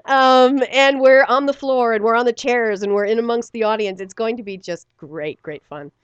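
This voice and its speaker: A woman's voice: fairly high pitch, moderate pace, clear, resonant tone, no room sound, highs cut off. A middle-aged woman, a stage actor, chatting animatedly in an interview, laughing often and using many fillers. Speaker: stage actor and theater company member. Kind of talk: radio interview, casual chat.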